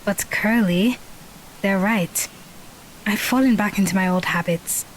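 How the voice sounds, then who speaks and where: A woman's voice: mid-range voice, measured pace, slight background noise, close to an everyday voice, low-quality recording. A woman playing a bossy, logical, argumentative teenage girl in an audio drama. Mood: resigned. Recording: music bed under the voice.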